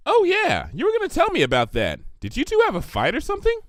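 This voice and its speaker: Sweet-toothed young cartoon mare, giddy and rambling male reader in falsetto, very high and animated. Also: fast talker, no room sound, clean studio sound, heavily acted. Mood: curious. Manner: bubbly, gushing, rambling. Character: hyperactive, sweet-toothed, cheerful young female pony.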